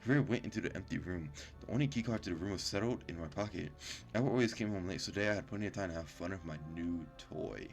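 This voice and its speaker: A man with a very low voice hosts a casual gaming video. He reads on-screen story text aloud, tripping over words and cutting in with blunt, incredulous, sweary asides. Faint game music plays behind him. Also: fast, creaky. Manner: stumbles over read-out words, breaks off into profane, incredulous reactions. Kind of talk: casual let's play, reading game text aloud with commentary.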